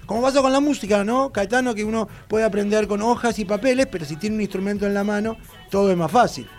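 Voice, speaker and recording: A man with an Argentine accent hosting an educational radio talk, rambling and animated, often repeating himself. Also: high-pitched, quick pace, soft background music. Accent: Argentine Spanish. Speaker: radio host.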